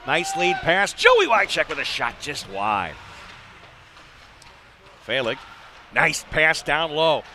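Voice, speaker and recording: A man with a bright, forceful, medium-pitched voice calls a hockey game live, radio style. He speaks in short clipped phrases and drops in chatty asides. Faint rink noise sits behind him. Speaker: play-by-play hockey announcer. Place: indoor ice arena.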